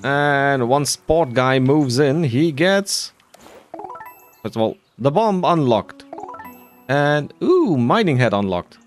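A man's voice: mid-range voice, lively intonation, takes it slowly, soft background music. A man doing casual playthrough commentary, musing to himself in a dry, self-deprecating way. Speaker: gaming video creator.

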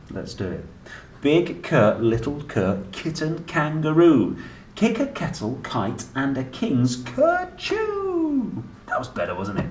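A young man reads aloud to small children with a playful, sing-song delivery that swings very widely in pitch. He goes slowly, as if chatting directly to his listeners. The sound is roomy and a little distant from the mic. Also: darkish tone. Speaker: children's book reader and presenter. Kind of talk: animated read-aloud of a children's alphabet book. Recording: roomy, distant mic with faint background noise.

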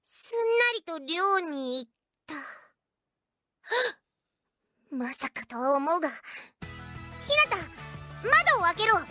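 A woman's voice: high-pitched, lively intonation, unhurried, sharp tone, no room sound, faint music behind, full character voice. An actress playing a haughty, hot-tempered schoolgirl with bossy, old-fashioned speech in an anime audio drama. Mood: suspicious, commanding. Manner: imperious, blustering, archaic phrasing.

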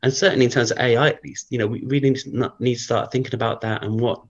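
A man, a guest researcher, thinking aloud in a casual interview, rambling with many fillers, his voice croaky from early morning. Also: medium pitch, steady tone, very fast, lo-fi sound.

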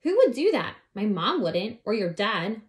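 A woman's voice: mid-range voice, brisk, fairly bright tone, lightly acted. A woman reading a storybook aloud, voicing a jealous young stepsister who talks sourly. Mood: uneasy. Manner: sour, accusing. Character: young girl Abby, jealous, sour stepsister.

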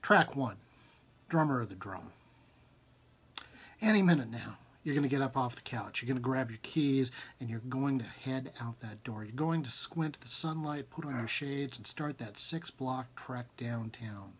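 A man in his forties with a husky voice reads his own fiction aloud informally and expressively, with emphatic rhythm and dramatic pauses, at a desk in a room at home. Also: medium pitch, expressive. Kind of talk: expressive literary reading, informal. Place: small book-lined room at home, indoors.

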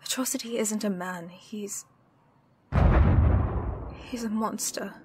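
A woman's voice: medium pitch, takes it slowly, very noisy, natural voice. A British woman playing a protective, anxious heroine in an audio roleplay, urgent and emotional. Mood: shaken, hushed. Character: protective, anxious young heroine haunted by loss.

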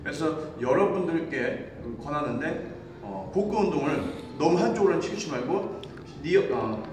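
Man in his thirties, fitness coach, fairly high voice, echoey gym, far from the camera. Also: normal speed, background noise. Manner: animated, demonstrating with gestures while talking. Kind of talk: explanatory exercise lesson. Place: large indoor gym.

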